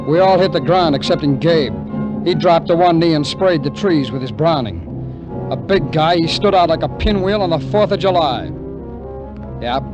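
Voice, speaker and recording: A man's voice: fairly high pitch, natural voice, rough recording. An actor playing a wary, cynical American ex-soldier who tells his story in slangy, wry 1950s radio drama narration. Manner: slangy, hard-boiled, wry. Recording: vintage radio recording with music.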